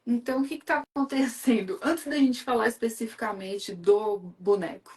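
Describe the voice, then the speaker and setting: Brazilian woman hosting a live chat, excited and chatty, lots of filler words. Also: a little high, normal speed. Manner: chatty, enthusiastic, many fillers. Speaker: live stream host.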